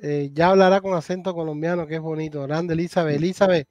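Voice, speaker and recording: A middle-aged man with a Cuban accent hosting a casual live stream chat over a video call, lively and warmly addressing his guest. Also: on the high side, fast talker, dull audio. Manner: animated, thanks viewers, calls guest gato and hermano. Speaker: host.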